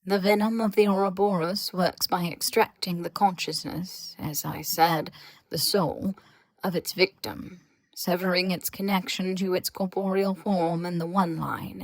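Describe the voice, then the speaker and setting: A woman playing an aloof, sarcastic researcher who lectures in a measured, formal and dry way in an audio story. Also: on the low side, steady tone, dry recording, poor audio. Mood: measured, lecturing. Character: aloof, sarcastic, scholarly government researcher speaking through voice-disguising charm. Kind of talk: scripted audio fiction dialogue.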